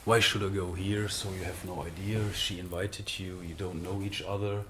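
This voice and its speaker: A man from Germany with a German accent, speaking English as a second language as an interview guest, halting with frequent pauses and fillers. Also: low voice, level tone, background noise. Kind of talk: conversational interview.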